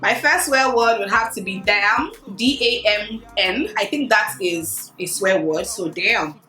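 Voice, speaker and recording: A woman's voice: medium pitch, lively intonation, unhurried, pushed and bright. Chatty Nigerian woman in an echoey room. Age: 30s. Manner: chatty, repeats words, laughs often. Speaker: vlogger. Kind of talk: casual chat answering a tag. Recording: echoey room with faint music.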